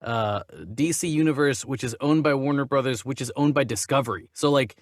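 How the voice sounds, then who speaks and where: A man's voice: mid-range voice, a little fast, dry recording, studio quality. A man hosting a discussion show, giving opinions casually and emphatically, often repeating phrases as he builds his point. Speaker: host of a movie news show.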